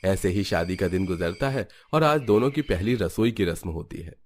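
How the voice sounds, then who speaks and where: Low-pitched male story narrator. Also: lively intonation, normal speed. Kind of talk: scripted cartoon story narration.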